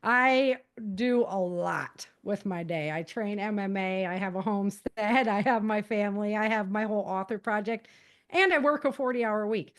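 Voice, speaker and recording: A woman's voice: mid-range voice, moderate pace. American woman, earnest and informal. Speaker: podcast guest, author and self-defense teacher. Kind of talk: conversational interview answers.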